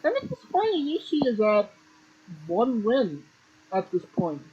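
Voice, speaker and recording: Podcast host, a man with a husky voice, plain and matter-of-fact with offhand swearing, muffled home mic. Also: sits high, wildly swooping pitch, normal speed. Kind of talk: casual wrestling chat.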